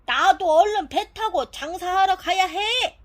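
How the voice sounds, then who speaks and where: A woman's voice: very high-pitched, unhurried, background noise, pitched above the performer's own voice. A woman voicing a busy, brusque merchant sailor uncle in a storybook. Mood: impatient.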